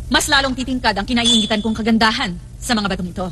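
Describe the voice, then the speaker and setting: A woman's voice: a little high, fast talker, lightly acted. A woman playing a vain, jealous, imperious queen in a dubbed fairy-tale cartoon, with a haughty, commanding manner. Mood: smug, jealous. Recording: loud music behind the voice.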